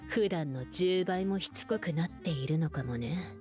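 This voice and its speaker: An actress voicing a composed, wry adult woman knight in game dialogue, calm and lightly teasing. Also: low-pitched, quick pace, natural voice. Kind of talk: Japanese game voice acting. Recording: game audio with music behind.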